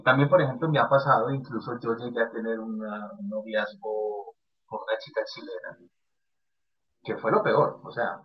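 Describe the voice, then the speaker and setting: A man in his late thirties with a Colombian accent, chatting casually and emphatically, full of little conversational tags. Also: lowish voice. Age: late 30s. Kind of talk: casual chat. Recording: muffled lo-fi audio.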